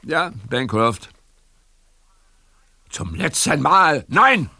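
A melodramatic, irascible professor in a radio play. He grumbles theatrically in a slow, creaky voice that swings widely in pitch. There is clear noise behind him. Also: sing-song, exaggerated voice. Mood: indignant. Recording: clear background noise with clicks.

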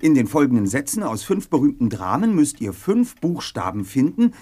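An eager young sleuth in an audio drama. His voice is a little rough and swings in pitch as he reads and questions with theatrical precision and open curiosity. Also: sing-song, normal speed, mellow. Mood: declaiming, intrigued. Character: eager, inquisitive young male detective reading a riddle aloud. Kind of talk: German audio drama acting.

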